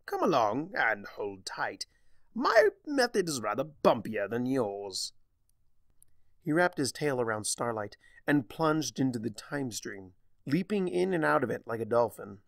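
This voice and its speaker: A man reads a fantasy story aloud as its narrator. His delivery is slow and dramatic, with deliberate phrasing and a medium pitch. The recording is dry and close. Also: a bit bright, no echo.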